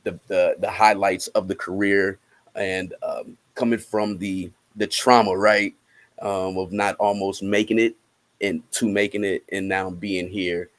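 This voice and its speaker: A man with a lowish voice speaks as an interview guest. He often repeats a word before carrying on, and he drops in "right?" at the ends of phrases. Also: flat delivery, measured pace. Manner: repeats words and says "right?" as a tag. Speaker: guest musician promoting a film project. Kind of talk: interview answers.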